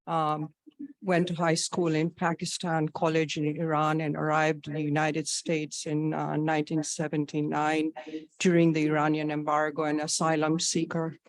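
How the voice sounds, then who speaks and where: A woman in her sixties from Tanzania, a physician making an earnest, halting personal statement and question at a public forum. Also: low-pitched, completely flat delivery, no room sound. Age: 60s.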